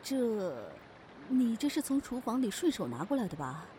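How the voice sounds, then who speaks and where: A woman's voice: fairly high pitch, very animated, moderate pace, warm tone. A woman playing a confident young innkeeper in a fantasy audio drama, commanding and teasing. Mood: playful. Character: confident young female demon-inn boss, brisk and playful. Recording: noisy background ambience.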